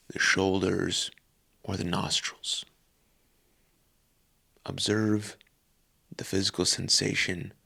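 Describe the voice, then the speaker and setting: A man leading a guided meditation calmly and unhurriedly, in short phrases with long pauses. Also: low-pitched, gravelly, slightly rough.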